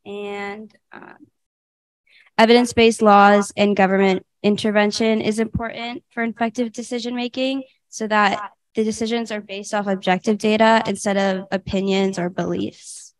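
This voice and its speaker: A teenage girl from California reading her section of a student presentation, halting, with frequent ums. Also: medium pitch, flat delivery, poor audio. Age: teens.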